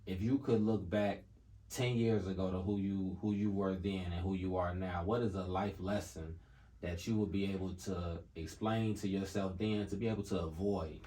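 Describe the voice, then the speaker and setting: A man's voice: low-pitched, fairly even intonation, moderate pace. A man hosting a podcast in casual conversation, affirming his guest often and asking long, winding questions. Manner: affirming, lots of "right, right", bursts of loud laughter. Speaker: podcast host. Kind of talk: casual interview chat.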